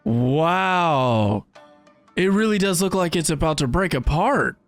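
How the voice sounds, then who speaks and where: Low-pitched, animated woman reacting to a game. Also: slow pace. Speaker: gaming streamer. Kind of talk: casual game reaction commentary. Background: loud game music.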